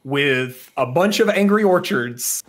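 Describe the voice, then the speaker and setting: A man's voice: fairly high pitch, expressive, slow pace, slight background noise. A man from the southern US with an American accent, co-hosting a podcast in a casual, joking, opinionated way. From southern United States. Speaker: podcast co-host. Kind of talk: casual chat.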